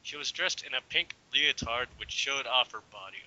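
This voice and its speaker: Sharp, bright-voiced young man reading aloud. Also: medium pitch, moderate pace. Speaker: reader. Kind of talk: amateur dramatic reading with casual asides. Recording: dull lo-fi home recording.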